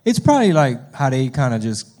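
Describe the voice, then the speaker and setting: A man's voice: medium pitch, big pitch swings, a little fast, warm tone. A man from Texas with a Texan accent, hosting a casual podcast chat in slangy, familiar language. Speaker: podcast host, comedian.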